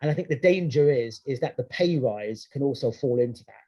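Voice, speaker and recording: A man answers a webinar question in a chatty, restarting way. His voice is mellow and a little husky, and the video-call sound is dull and lo-fi. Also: mid-range voice, lively intonation, brisk. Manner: conversational, many restarts and "you know". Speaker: conference speaker answering questions.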